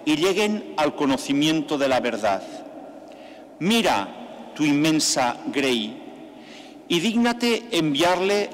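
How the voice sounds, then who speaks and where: An older man, a priest, leading mass with a slow, measured liturgical delivery into a stand microphone in a large church. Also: a little high, fairly bright tone. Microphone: stand mic at the altar. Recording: long church reverb, faint background noise.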